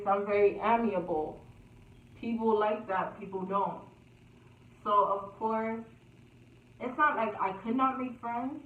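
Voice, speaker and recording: A young woman telling a casual storytime vlog in a chatty, repetitive way, laughing at herself now and then. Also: mid-range voice. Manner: slow, chatty, repeats phrases, laughs at herself. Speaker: YouTuber. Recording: echoey, distant, phone-like, faint hiss.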